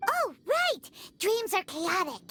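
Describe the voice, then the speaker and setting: A woman voicing a small, excitable, childlike and easily confused fairy companion in a video game, with a squeaky, exclamatory delivery. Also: slow, soft background music, full character voice. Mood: curious, eager. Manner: exclamatory, refers to self in third person.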